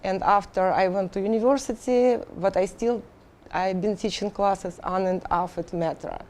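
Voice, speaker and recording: A middle-aged woman from Russia with a Russian accent, an engineering instructor answering interview questions haltingly with many fillers and restarts. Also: mid-range voice, steady tone, a little mellow.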